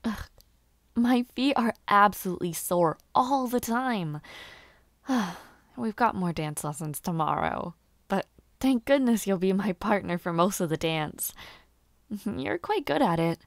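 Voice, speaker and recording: A woman playing a bashful, playful young noble lady who aspires to knighthood in an audio roleplay, with halting, giggly speech and formal wording. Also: medium pitch, lively intonation, dry recording, clean studio sound. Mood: self-deprecating, teasing. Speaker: roleplay voice actor. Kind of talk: intimate scripted audio roleplay.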